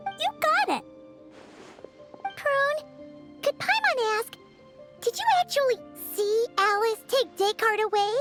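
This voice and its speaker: A woman's voice: very high-pitched, slow pace, long pauses, a bit bright, soft background music, exaggerated voice. A woman voicing a friendly, eager, childlike girl adventurer in an anime-style video game, cheerful and encouraging. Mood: curious.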